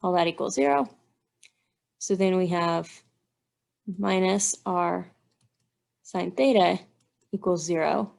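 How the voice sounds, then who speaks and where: A woman's voice: on the low side, takes it slowly, creaky, long pauses, a little mellow. A woman engineering instructor giving a methodical, step-by-step walkthrough, thinking aloud as she works through math.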